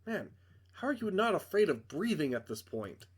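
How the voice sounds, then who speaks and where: A man's voice: high voice, very animated, normal speed, breathy edge. A man reading a visual novel aloud as a streamer, narrating dramatically and breaking off into casual, joking asides to correct himself.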